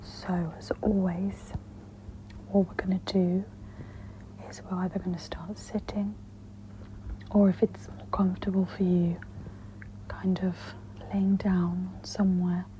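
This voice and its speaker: A woman speaks in a whispery, breathy voice, gently guiding a meditation in a soft-spoken ASMR style. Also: on the low side. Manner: slow, gentle, instructional. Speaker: host of guided meditation ASMR. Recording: dull lo-fi with audible background noise.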